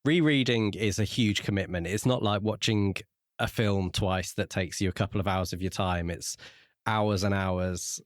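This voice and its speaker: A man co-hosting a podcast in casual book discussion, talking in a measured way with pauses mid-sentence. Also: on the low side, no room sound, clean studio sound. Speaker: podcast co-host.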